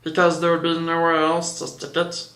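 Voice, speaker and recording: Man as confident male storybook rabbit: matter-of-fact, fairly high everyday voice, roomy. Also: completely flat delivery, moderate pace, a bit bright.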